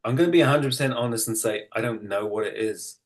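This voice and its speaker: A man's voice: medium pitch, fast. A middle-aged British man talking casually as an interview guest, explaining things in a matter-of-fact, self-deprecating way. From United Kingdom. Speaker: interview guest, energy practitioner and massage therapist.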